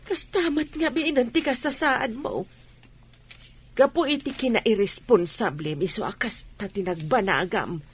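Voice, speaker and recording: Woman acting a husky, scolding village mother. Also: a little high, measured pace. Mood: stern. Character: scolding, practical older village mother giving moral advice. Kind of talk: Ilocano radio drama acting.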